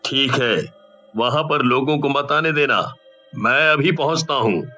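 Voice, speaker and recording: Casting: man for middle-aged cop in a horror story, stern, sharp voice, unhurried delivery. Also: medium pitch, highs cut off, faint music behind. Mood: official, stern. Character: middle-aged male police officer, stern grieving father.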